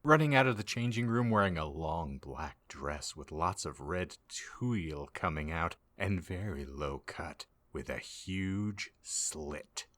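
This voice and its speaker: A man's voice: low voice, lively intonation, slow pace, hardly any pauses, fairly bright tone. Theatrical man reading with big swings. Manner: theatrical, heavily emphatic, swinging in volume. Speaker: podcast host reading aloud. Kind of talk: mock-dramatic comedic reading of fan fiction.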